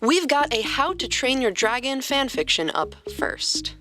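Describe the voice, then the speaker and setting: A woman hosting a podcast and reading a story aloud as expressive scripted narration. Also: high voice, measured pace. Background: music.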